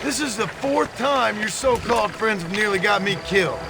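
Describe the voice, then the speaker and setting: An actor playing a dry, sardonic American gunslinger from the Old West in a video game, with a rural Western accent. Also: male voice, high voice, lively intonation, normal speed, vocal fry. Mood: dry exasperation. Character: gruff, sardonic American frontier gunslinger, grown man. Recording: loud music and game sounds behind.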